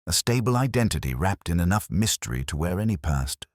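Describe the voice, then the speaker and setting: A man voicing a grave, incisive detective in an audiobook, speaking precisely and deliberately. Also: sits low, sing-song, no room sound, clean studio sound.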